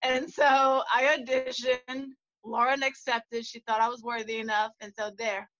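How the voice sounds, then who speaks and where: A woman from South Africa, a guest actor, telling her story in a thoughtful, measured way in casual conversation. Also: fairly high pitch, steady tone, fairly bright tone, highs cut off. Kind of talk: casual interview chat.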